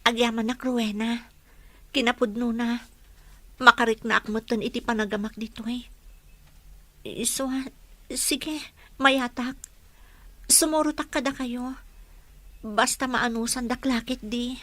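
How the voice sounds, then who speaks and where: A woman's voice: on the high side, steady tone, a little slow, pauses often, pushed and bright, no echo. An actress playing an earnest, distressed young woman in an emotional, pleading radio drama style. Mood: subdued, determined. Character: earnest, distressed young woman left alone by her family. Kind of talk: Ilocano radio drama acting.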